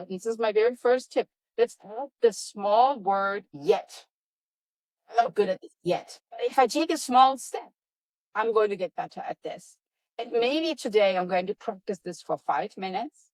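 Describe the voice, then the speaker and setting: A woman with a European accent, a professor guest on a podcast, answering in an energetic, emphatic way. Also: no room sound, poor audio. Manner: energetic, upbeat, emphatic with lively pitch jumps. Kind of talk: enthusiastic interview answers.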